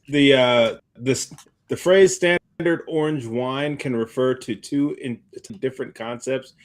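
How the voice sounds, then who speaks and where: A man co-hosting a show in casual, conversational chat. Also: mid-range voice.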